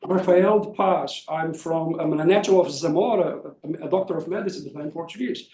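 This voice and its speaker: A man's voice: on the high side, brisk, mellow, slight background noise. Male scholar in Q&A, explanatory, picked up at a distance in a reverberant conference room. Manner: explanatory, often tags points with "right?". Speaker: academic presenter answering questions. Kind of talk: spontaneous academic question-and-answer. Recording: echoey room, distant mic.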